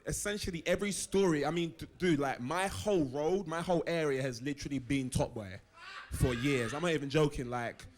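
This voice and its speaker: A man's voice: a little high, fairly quick. Easygoing young man telling stories. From East London, England. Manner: easygoing, animated storytelling with slang. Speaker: actor guest. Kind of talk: casual live interview chat.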